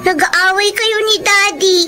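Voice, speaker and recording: A woman's voice: very high-pitched, fairly even intonation, unhurried. A female performer playing a worried, loving little child with a plaintive manner in a Filipino radio drama. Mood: tearful, loving.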